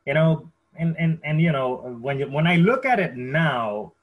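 Man with a Puerto Rican accent, halting storyteller. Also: a little high, lively intonation, narrow, tinny audio. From Puerto Rico. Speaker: podcast guest. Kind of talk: conversational personal storytelling.

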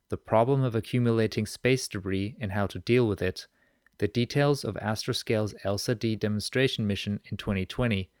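A man's voice: lowish voice, dry recording, studio quality. A man hosting a podcast, presenting and interviewing. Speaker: podcast host. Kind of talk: scripted podcast introduction and interview.

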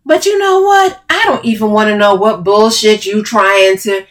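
An overbearing, bossy woman in a dramatic audiobook, acted out by a female narrator. Her voice sits high and she pushes every phrase hard, confrontational and emphatic. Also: animated, slow. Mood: furious, bellowing. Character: Camille, overbearing, furious, taunting woman, bossy cousin.

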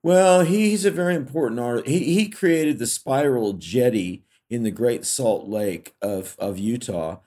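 A man's voice: mid-range voice, unhurried, hardly any pauses, mellow. A middle-aged man from California, co-hosting a podcast in casual, reflective conversation full of fillers and restarts. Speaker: podcast co-host.

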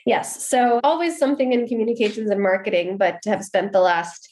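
An American woman from the Pacific Northwest answers interview questions in a relaxed, explanatory way. Her voice sits in a middle register. She now and then restarts a phrase as she thinks aloud. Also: mid-range voice, a little fast, low-quality recording. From Seattle, USA. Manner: friendly, explanatory, occasional restarts. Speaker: guest, marketing executive.

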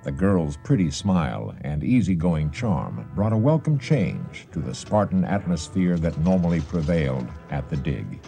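A man acting as a measured, formal storyteller narrating a scripted radio drama. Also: rumbling low, sing-song, gravelly, warm tone, soft background music, heavily acted. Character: measured, dignified male storyteller.

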